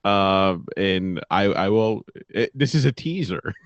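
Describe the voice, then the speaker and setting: Low-voiced wry man, dry muffled audio. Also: lively intonation, slow, no room sound. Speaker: podcast co-host. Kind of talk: casual podcast chat.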